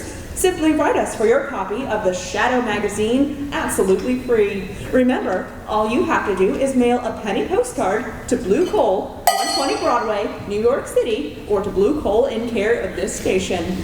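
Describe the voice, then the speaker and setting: A woman's voice: fairly high pitch, a little mellow, a little hiss or hum, natural voice. A woman as a vintage radio ad announcer. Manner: measured, scripted commercial delivery. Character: old-time radio commercial announcer. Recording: distant, echoey hall.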